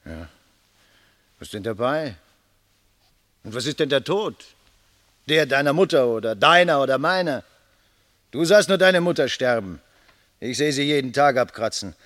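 An actor playing a boisterous, mocking young medical student in a German radio drama, theatrical and teasing, swinging from declaiming to muttering. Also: male voice, mid-range voice, lively intonation, normal speed, long pauses, a bit bright, lightly acted. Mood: defensive.

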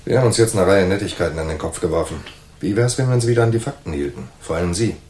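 An actor playing a world-weary, sardonic private detective who also narrates in a radio crime drama, with a dry, wry, clipped delivery. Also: male voice, sits low, animated, racing, a little mellow. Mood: sarcastic, brisk.

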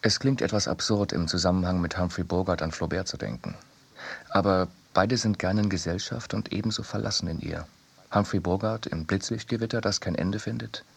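Very deep, gravelly male narrator. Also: a little fast. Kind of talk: literary radio reading.

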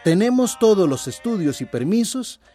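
A man's voice: sits high, big pitch swings, moderate pace, dark tone, soft background music. A man acting an earnest, insistent company loyalist in a radio soap opera. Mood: defensive, insistent. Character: earnest, defensive young male company loyalist.

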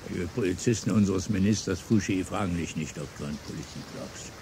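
An actor playing a bitter, drunken former revolutionary in a radio play, declaiming between low mutters and outbursts. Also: male voice, fairly quick, background noise. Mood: bitter raving. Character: bitter, drunken old male revolutionary, brooding and wild. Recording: radio drama with music underneath.

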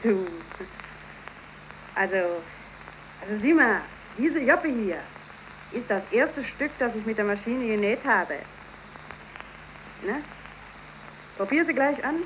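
Sweet eager young seamstress, 1930s german radio play, old slightly noisy recording. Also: female voice, a little high, lively intonation, slow, long pauses, fairly bright tone. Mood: excited, tender. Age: young adult. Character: cheerful, devoted young seamstress in love.

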